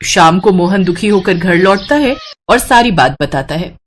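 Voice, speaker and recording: Expressive woman narrating a tale. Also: on the low side, moderate pace, slight background noise. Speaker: story narrator. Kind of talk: expressive scripted storybook narration.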